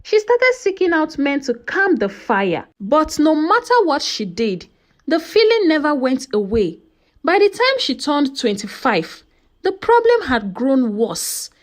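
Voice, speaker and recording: A woman narrating a folktale as a scripted story, with an expressive, dramatic delivery. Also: sits high, a little slow.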